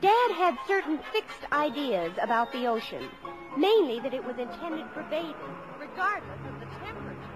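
A young woman playing an earnest high-school daughter, telling her family's story expressively in a 1950s radio drama. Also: huge pitch swings, normal speed, poor audio. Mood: fond, reminiscent. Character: bright, earnest eldest daughter, a high-school girl, narrating. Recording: old radio broadcast with loud music.

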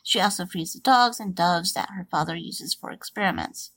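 A woman hosting a podcast, reading a steady plot recap and then chatting loosely with fillers. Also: on the low side, moderate pace, breathy edge, no echo. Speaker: podcast host. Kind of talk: reading a plot summary, then casual commentary.

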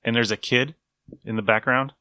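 A man co-hosting a casual review podcast, explaining in a geeky, animated way and repeating himself for emphasis. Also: medium pitch, moderate pace, no echo. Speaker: podcast co-host. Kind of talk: casual review chat about old radio episodes.

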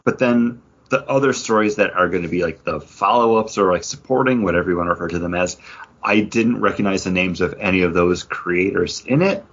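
This is a man in a casual podcast conversation as co-host. His voice is deep with a rough, husky grain. The audio is somewhat dull and lo-fi. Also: a little fast, fairly bright tone. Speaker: podcast co-host reviewing comics. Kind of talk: casual chat.

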